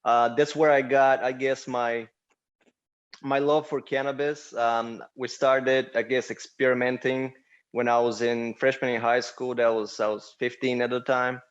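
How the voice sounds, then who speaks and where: A man's voice: medium pitch, flat delivery, measured pace, clean tone, lo-fi sound. A man from Bulgaria, a podcast guest talking easily with lots of "you know.". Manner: easygoing, many "um" and "you know" fillers. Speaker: guest, cannabis business co-founder. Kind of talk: casual interview chat.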